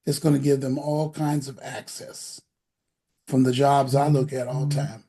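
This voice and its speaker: A man's voice: medium pitch, flat delivery, moderate pace, dark tone. A man hosting a casual interview, talking to parents in a folksy, persuasive, rambling way. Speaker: host of an education program show.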